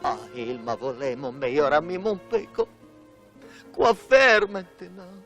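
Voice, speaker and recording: A man's voice: a little high, big pitch swings, unhurried, a bit bright, lo-fi sound, soft background music. A man playing a poor, honest water carrier in a French cartoon dub, plaintive and full of exclamations. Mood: lamenting.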